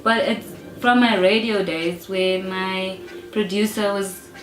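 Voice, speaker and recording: A woman's voice: mid-range voice, fairly even intonation, slow, fairly bright tone. A young South African woman, a rap artist, talking openly and emphatically in an interview, often adding "you know". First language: English. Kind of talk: casual interview talk. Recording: roomy echo with faint music behind.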